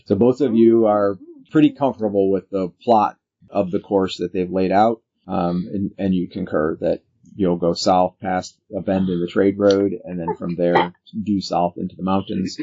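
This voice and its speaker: Low, gravelly man running a game. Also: flat delivery, moderate pace, warm tone, highs cut off. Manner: explanatory, instructive. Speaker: game master. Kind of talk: narrating a tabletop role-playing game.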